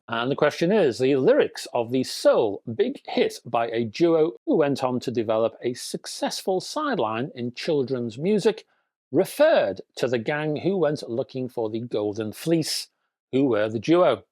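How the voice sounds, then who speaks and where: A man hosting a news show for hobbyists, presenting to viewers in an emphatic, opinionated way with deliberate phrasing. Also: on the high side, a little mellow, dry recording. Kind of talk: scripted presenting to viewers with asides.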